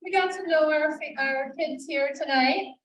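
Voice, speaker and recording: A woman hosting a live bookstore event, quizzing young guests with quick questions in an upbeat way. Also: sits high, a bit bright, slight background noise. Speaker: host of an author event. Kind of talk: casual live event moderating. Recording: roomy, from a distance.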